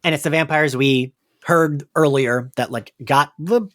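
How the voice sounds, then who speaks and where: A podcast co-host with an animated, giggly way of talking, casually recapping a story and acting out bits of dialogue. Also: medium pitch, a little slow. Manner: giggly, animated, quotes characters. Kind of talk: casual episode recap chat.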